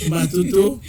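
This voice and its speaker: A man hosting a lively radio show, chatty and quick to laugh, with many fillers. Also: high voice, far from the mic, noisy background. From Togo.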